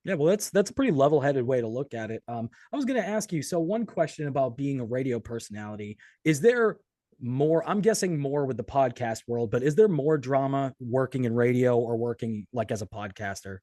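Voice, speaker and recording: Podcast interviewer, American male, medium pitch, very dry recording. Loose, sweary, conversational delivery. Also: expressive, a little fast, warm tone, no room sound. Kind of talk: casual, profane interview chat.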